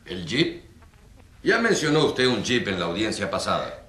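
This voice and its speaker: Deep, echoing stern judge. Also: male voice, very animated, normal speed, a bit bright. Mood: formal, stern. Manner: formal, authoritative. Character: stern, measured male judge presiding over a trial. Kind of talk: radio drama acting. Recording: echoey, noisy courtroom-like sound.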